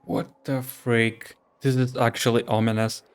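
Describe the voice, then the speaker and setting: A man's voice: medium pitch, level tone, a little slow, clean tone. A man streaming a video game, reacting casually to its story in short, informal remarks. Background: game music.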